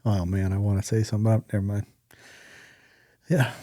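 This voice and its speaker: A man's voice: on the low side, steady tone, a little fast, dark tone, no echo, studio quality. A man co-hosting a podcast, chatting informally about gear with jokes and firm opinions. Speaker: podcast co-host. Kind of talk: casual chat about hunting gear.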